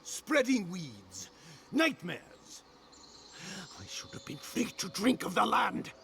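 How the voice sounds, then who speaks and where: Gravelly, raving madman. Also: sits high, wildly swooping pitch, slow pace, fairly bright tone, full character voice. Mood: bitter raving. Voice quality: gravelly, strained. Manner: raving, menacing, theatrical. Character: big deranged, hostile, plague-maddened man. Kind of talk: video game character acting.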